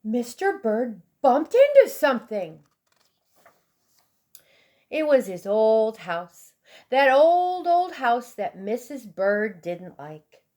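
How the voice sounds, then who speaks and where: A woman with a high voice reads a storybook aloud to young children. Her pace is slow and deliberate, and she gives phrases expressive, dramatic emphasis. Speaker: storybook reader.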